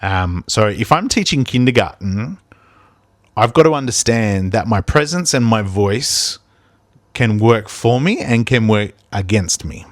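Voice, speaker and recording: A man's voice: fairly low pitch, animated, a little slow, no room sound, clean studio sound. A man, a primary school teacher hosting a podcast, who talks in a reflective, rambling way with many ums and restarts. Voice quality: big voice. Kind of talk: reflective casual podcast chat.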